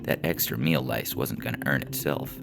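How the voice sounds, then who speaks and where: Very deep, gravelly male story narrator. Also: moderate pace. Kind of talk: scripted comic fiction narration. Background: music.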